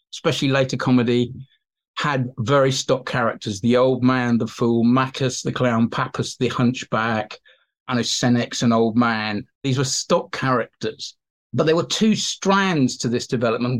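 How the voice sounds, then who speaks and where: Male academic guest, mid-range voice, informal and enthusiastic explainer with a digressive, conversational delivery. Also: medium pitch. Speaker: expert guest, teacher and writer. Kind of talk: informal expert explanation in an interview.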